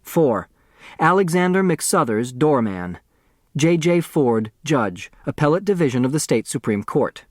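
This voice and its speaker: A man playing a formal reader of game rules in an audiobook, announcing deliberately. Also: a little high, very animated, no echo, studio quality.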